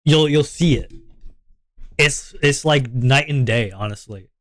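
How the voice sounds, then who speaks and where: A man with a deep voice, streaming and chatting casually to his viewers in a rambling, self-reflective way, often repeating his words. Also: measured pace, no room sound, studio quality.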